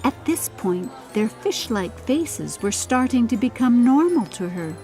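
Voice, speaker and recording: A woman narrating a fairy-tale audiobook in a measured, expressive storytelling style. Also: a little high. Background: music.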